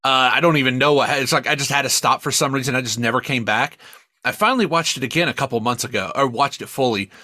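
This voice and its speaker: A man co-hosting a casual podcast chat, talking in an emphatic, animated way with some swearing. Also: mid-range voice, fast talker, no room sound, clean studio sound. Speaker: podcast co-host. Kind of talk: casual chat reviewing media.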